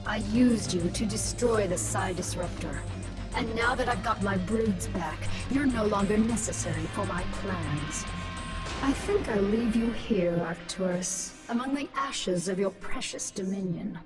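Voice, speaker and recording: A woman's voice: medium pitch, measured pace, distant mic, over loud music, close to an everyday voice, low-quality recording. An actress playing a ruthless, scheming alien queen who speaks in a menacing, mocking, commanding way in a video game. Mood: gloating. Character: ruthless, scheming alien swarm queen, once human, cold and gloating. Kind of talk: dramatic video game voice acting.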